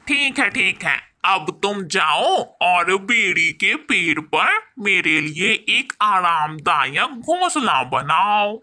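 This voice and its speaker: Cartoon villain crow, male, acted by a woman: scheming, commanding, bright and unhurried delivery. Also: mid-range voice, very animated, exaggerated voice. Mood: bossy. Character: bossy, scheming male crow who casts spells. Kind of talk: Hindi cartoon story voice acting.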